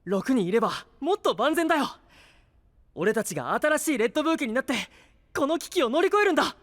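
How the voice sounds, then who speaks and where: A man's voice: extremely high pitch, very animated, fast talker, a bit bright, exaggerated voice. A man playing an earnest, hot-blooded young knight in an anime audio drama, sincere and eager in delivery. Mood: eager, hopeful.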